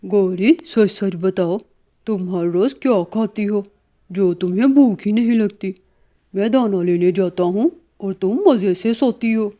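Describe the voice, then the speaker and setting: Woman doing a grumbling mellow crow husband. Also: mid-range voice, unhurried, natural voice. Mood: nagging.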